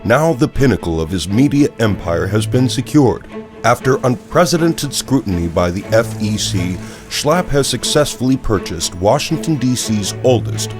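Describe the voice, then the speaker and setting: An actor playing a serious, polished television newsmagazine anchor, narrating with measured, dramatic emphasis. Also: male voice, mid-range voice, lightly acted. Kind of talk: scripted broadcast news narration.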